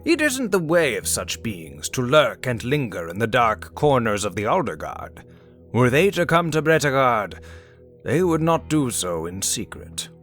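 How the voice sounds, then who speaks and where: A man playing a wise, unhurried old Viking explorer in an audio story, speaking in measured, formal storyteller phrases. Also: mid-range voice, sing-song, a bit bright. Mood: calm, knowing. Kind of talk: audio story character acting. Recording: music behind the voice.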